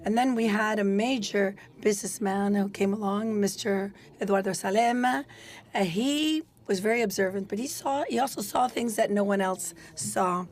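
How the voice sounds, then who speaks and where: Woman interpreting live, halting delivery. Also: medium pitch, a little mellow. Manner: halting, many fillers and restarts. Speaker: simultaneous interpreter.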